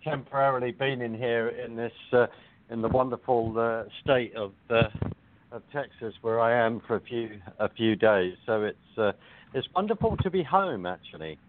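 A man with a London accent talking as a guest on a radio show, courteous and halting, with many hesitations. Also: mid-range voice, a little hiss or hum. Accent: London English. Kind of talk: phone-in interview. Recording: tinny phone line.

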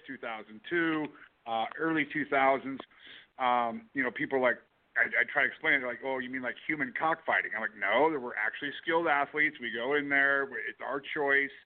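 A man co-hosting a casual talk show, talking excitably and rambling, often restarting his sentences. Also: mid-range voice. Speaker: co-host, fighter. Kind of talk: casual chat. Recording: phone-like line.

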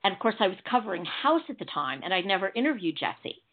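A woman's voice: medium pitch, big pitch swings, fast. A woman from Chicago hosting a call-in chat show, telling stories in a chatty, digressive way. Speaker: show host. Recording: phone-like narrow sound.

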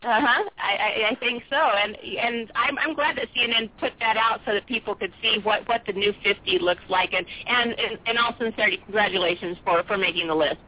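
A woman in her fifties interviewing on the radio, chatty and quick to laugh. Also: medium pitch. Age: 50s. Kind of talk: casual interview chat. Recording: dry tinny phone-line sound.

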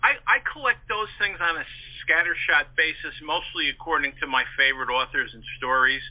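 A man's voice: a little high, fairly quick. A man giving detailed, talkative explanations as a historian guest in an informal interview, speaking over the telephone. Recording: narrow-band phone-like radio line.

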